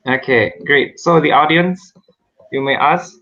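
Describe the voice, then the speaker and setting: Bright-voiced man moderating politely. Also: on the high side, a little slow. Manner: polite and formal, reads audience questions. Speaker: event moderator. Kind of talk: moderating an online session. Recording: muffled lo-fi video call.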